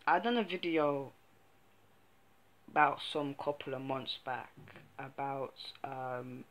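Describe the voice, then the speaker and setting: Bright, slow-talking young man. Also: medium pitch, rough recording. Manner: frank, hesitant, full of ums and restarts. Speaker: vlogger. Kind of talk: casual personal vlog talk.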